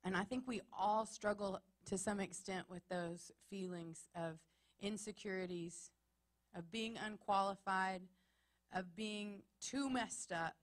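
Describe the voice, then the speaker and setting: A woman's voice: mid-range voice, steady tone, slow. A woman from Texas with a Texan accent, preaching a sermon in an earnest way that builds to emphatic repetition. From Texas, USA. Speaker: pastor. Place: church.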